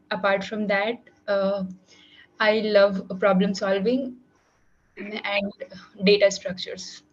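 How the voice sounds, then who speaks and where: A woman's voice: medium pitch, lo-fi sound. A young woman from India, a student, introducing herself hesitantly with restarts on a video call. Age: young adult. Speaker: student attendee. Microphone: computer mic on video call.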